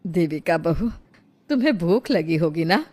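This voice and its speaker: Woman doing anxious gentle mother-in-law, soft and unsure, village cartoon. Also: on the low side, big pitch swings, a little slow, a little hiss or hum. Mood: anxious, concerned.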